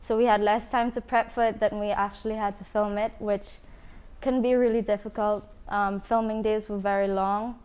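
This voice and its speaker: A woman film producer from Trinidad and Tobago, speaking calmly and matter-of-factly in an interview. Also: medium pitch, normal speed, clear, resonant tone. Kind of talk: casual interview talk.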